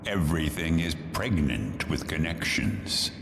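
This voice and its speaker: Very deep gravelly theatrical male philosopher. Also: big pitch swings, a little slow, fairly bright tone, heavily acted. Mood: musing, assured. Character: grandiose, philosophical male stage-pantomime figure defending humanity. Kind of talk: theatrical game dialogue. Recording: echoey hall, long reverb, music behind. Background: music.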